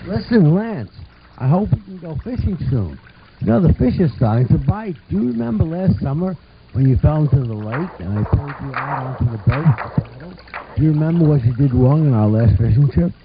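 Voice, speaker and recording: A man voicing a kindly, chatty old grandfather in a puppet show, reminiscing and gently approving. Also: fairly high pitch, big pitch swings, normal speed. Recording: muffled, noisy amateur puppet show recording.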